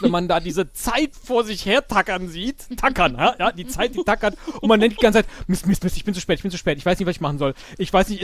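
Guy guest on a podcast, pretty high voice, rambly and self-mocking, dry recording. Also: no room sound. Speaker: podcast guest, improv audio-play player. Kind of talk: casual chat.

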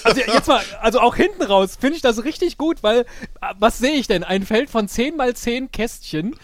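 A man in an excitable, casual debrief chat who acts out his remembered thoughts as he talks. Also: very high-pitched, moderate pace, a little hiss or hum. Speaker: podcast guest and improv player.

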